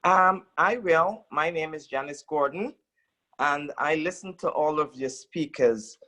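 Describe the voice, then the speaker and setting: A woman adding a short, deliberate comment to a panel discussion. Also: low-pitched, a bit bright. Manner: slow, deliberate. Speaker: panel participant.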